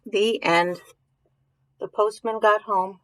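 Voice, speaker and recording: Slow-paced woman reading to children. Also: medium pitch, steady tone, fairly bright tone, dry recording, low-quality recording. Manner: questioning, musing aloud, explaining simply. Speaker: storyteller reading a picture book to children. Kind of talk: read-aloud with informal commentary to young children.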